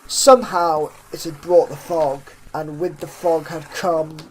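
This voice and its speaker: Lower-voiced woman reading horror dramatically, noisy ambience. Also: fairly even intonation. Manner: theatrical, suspenseful delivery with drawn-out phrases and hushed drops. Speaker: fan fiction narrator. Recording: clear background noise with ambience.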